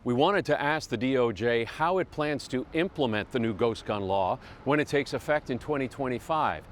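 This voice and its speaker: An American man narrates a television news report. His voice sits at a middle pitch, and he delivers scripted copy as a reporter. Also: expressive, moderate pace, a little hiss or hum.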